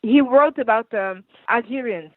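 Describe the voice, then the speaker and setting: A woman with a French accent explains her views patiently, restating points as she works through them. Her fairly high voice comes through a narrow, phone-like line that sounds dry and close. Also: measured pace, no echo. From France. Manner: earnest, explanatory, rephrases as she goes. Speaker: guest writer and activist. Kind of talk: radio interview answers.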